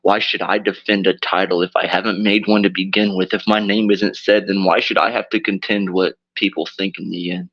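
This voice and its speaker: A man with a raspy voice, drunk and loopy, delivering a dark rap verse slowly and deliberately. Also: low-pitched, flat delivery, highs cut off. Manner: slow, deliberate delivery of dark, intricate rhymes. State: drunk and loopy after dental surgery. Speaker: rapper performing a verse. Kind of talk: slow spoken-word rap.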